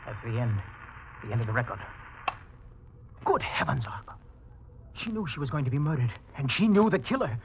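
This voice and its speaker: Through a muffled vintage radio recording comes a haunted man accused of murder. His pitch leaps about widely as he moves between hushed dread and frantic protest, in overwrought 1940s mystery style. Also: big pitch swings, brisk, long pauses, a little hiss or hum. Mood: alarmed.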